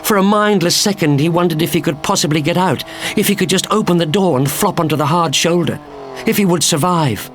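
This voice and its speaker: A man's voice: on the high side, fairly quick, faint music behind. A man reading a thriller story as a dramatic, scripted audiobook narration.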